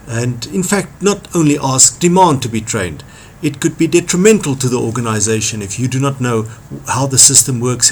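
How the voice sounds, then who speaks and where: Man giving an emphatic tutorial, noisy background. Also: mid-range voice. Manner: emphatic, repeats key phrases for stress. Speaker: trainer presenting a tutorial. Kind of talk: instructional talk on workplace skills.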